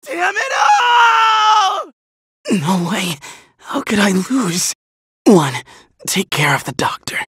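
A very high, bright, shouting voice that is lively and a little gravelly. It belongs to a flashy young android superhero in a game, bragging and hurling battle cries at a measured pace. The sound is dry and close. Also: male voice, dry recording, exaggerated voice. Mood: dismayed. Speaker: voice actor. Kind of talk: video game voice clips.

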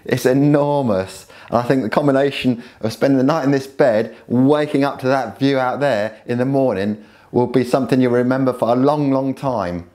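A middle-aged man hosting a walking video tour of holiday flats, chatty and friendly as he shows rooms. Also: mid-range voice, slight background noise. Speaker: property video host. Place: seaside quay outdoors, then rooms of a flat.

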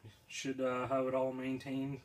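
A man's voice: medium pitch, monotone, rough recording. A young man casually explaining a repair step by step in a garage, plain and practical. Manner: plain, unhurried, practical, with frequent fillers. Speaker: DIY video host. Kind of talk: casual how-to demonstration. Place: indoor garage or shed, filming himself while crouched working.

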